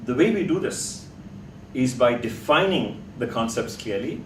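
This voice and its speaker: Echoey, distant male teacher, deliberate and questioning. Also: medium pitch, animated. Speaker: teacher leading a workshop class. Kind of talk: classroom teaching with rhetorical questions. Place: classroom. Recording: echoey, noisy room, distant mic.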